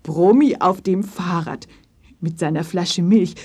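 A woman's voice: on the low side, slow pace, a little mellow, slightly rough. A woman narrating a scripted children's story.

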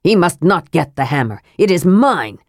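A woman's voice: fairly low pitch, big pitch swings, moderate pace, no room sound, clean studio sound. A woman playing a curt, demanding, possessive male dwarf in a fantasy audiobook. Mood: angry, possessive. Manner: curt, brusque.

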